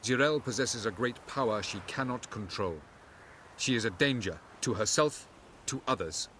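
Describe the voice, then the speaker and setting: An actor playing a calm, aloof male elven sage who mentors a young woman, speaking in a measured, stern way in a game cutscene. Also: mid-range voice, a little hiss or hum, close to an everyday voice. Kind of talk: video game cutscene acting.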